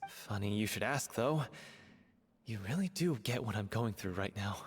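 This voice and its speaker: A man's voice: lowish voice, lively intonation, normal speed, long reverb tail, soft background music, lightly acted. A man voicing an earnest, lovesick young actor in a game troupe, speaking in a sincere, confessional way. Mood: nervous.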